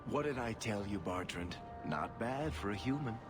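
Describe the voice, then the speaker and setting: Man as a low-voiced, teasing dwarf rogue. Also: animated, normal speed, over music, lightly acted. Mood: smug. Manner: wry, teasing. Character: teasing, smug male dwarf rogue, younger-brother wit. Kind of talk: video game voice acting. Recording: noticeable ambient background noise.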